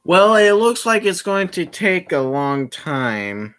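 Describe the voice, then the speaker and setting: Slow-paced, muttering game streamer. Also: mid-range voice, sing-song, fairly bright tone. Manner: muttering to self, frequent swearing. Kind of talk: casual livestream gameplay commentary.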